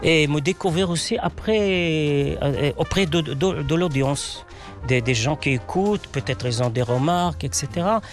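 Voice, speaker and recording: Hesitant Moroccan man, slightly high voice. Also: normal speed, faint music behind. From Morocco, Tangier. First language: Arabic. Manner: hesitant, many fillers and restarts. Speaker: interview guest, writer. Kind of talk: casual interview answers.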